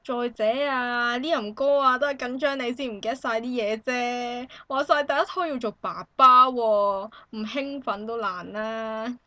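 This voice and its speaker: A woman's voice: on the high side, a little slow, few pauses, no room sound. A woman with a Hong Kong Cantonese accent acting an expectant young woman visiting a friend in a satirical radio drama, chatty, exclamatory and indignant. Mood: reassuring. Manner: animated, exclamatory, colloquial.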